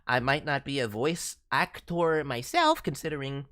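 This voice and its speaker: A man voicing an excitable male cartoon raccoon, a reformed villain who hosts a livestream in a theatrical way, playfully mangling words. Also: mid-range voice, moderate pace, lightly acted. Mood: chatty, earnest. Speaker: host, voice director reviewing demo reels. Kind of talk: livestream hosting in character as a cartoon VTuber.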